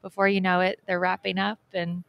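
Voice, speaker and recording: A woman's voice: fairly low pitch, completely flat delivery, a little fast, creaky, fairly bright tone. A young adult woman co-hosting an event wrap-up, enthusiastic and promotional, urging viewers to sign up and check things out, talking into a handheld mic at an expo booth set. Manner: enthusiastic, promotional, calls to action. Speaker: co-host, events organizer.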